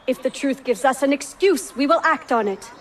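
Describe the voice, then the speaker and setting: Resolute, intense wife, swooping pitch. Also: female voice, animated, normal speed, slight background noise. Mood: firm, resolute. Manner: earnest, intense. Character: principled, resolute wife of a master assassin. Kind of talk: dramatic video game cutscene acting. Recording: music behind the voice.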